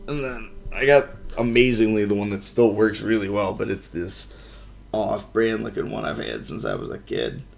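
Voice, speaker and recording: A man with a low, raspy, gravelly voice, talking casually on a live stream in his living room. He rambles in a nostalgic way while setting up his console, speaking into a desk mic. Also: expressive, normal speed, a little hiss or hum. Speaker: streamer playing a game. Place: home living room with a couch.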